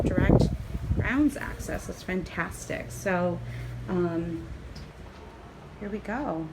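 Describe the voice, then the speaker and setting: A young woman giving an excited, chatty hotel room tour, her voice a little hoarse and raspy, filmed on a handheld phone. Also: fairly low pitch, background noise. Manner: bubbly, excited, chatty with fillers. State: slightly hoarse, lost voice. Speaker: travel vlogger. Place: hotel room and ground-floor patio. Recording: roomy echo, faint ambience.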